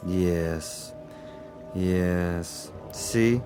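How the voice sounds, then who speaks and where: An actor playing a patient, menacing Soviet commissar in a radio drama, speaking in a measured, formal, softly mocking way. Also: male voice, low-pitched, soft background music. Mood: sly, menacing.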